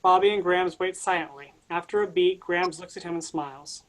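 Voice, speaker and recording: A woman's voice: fairly low pitch, fairly quick. A woman reading stage directions as scripted narration for a staged play reading.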